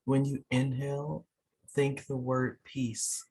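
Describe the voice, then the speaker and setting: A person leading a guided meditation in a soothing, unhurried way, pausing between short phrases. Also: mid-range voice, little pitch movement, mellow, slightly rough, poor audio. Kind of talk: guided meditation, slow instructions.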